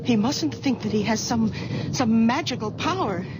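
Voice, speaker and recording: Worried daughter in her forties played by an actress, slightly high voice, very hissy old broadcast. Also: lively intonation, moderate pace, close to an everyday voice. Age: 40s. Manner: anxious, tender, faltering. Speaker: devoted daughter. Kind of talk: 1970s radio drama acting. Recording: old radio broadcast with loud hiss.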